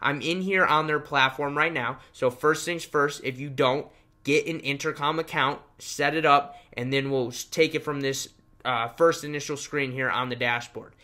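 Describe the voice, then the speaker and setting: A man's voice: a little high, steady tone, normal speed, sharp tone. A young man hosting a casual screen-share tutorial, talking in an upbeat, emphatic way like a salesman. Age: young adult. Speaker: tutorial host and web design trainer.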